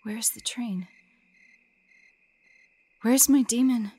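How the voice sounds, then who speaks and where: A woman playing an anxious, forlorn young female hunter in an intimate audio roleplay, soft and breathy, often dropping to whispers. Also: on the high side, drawn-out words, dry recording. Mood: confused, frightened.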